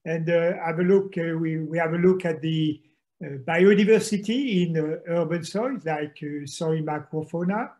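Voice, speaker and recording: A man, a soil scientist giving a presentation from home over a video call, slow and hesitant with many fillers. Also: on the high side, darkish tone. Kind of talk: online conference presentation. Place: home room, indoors.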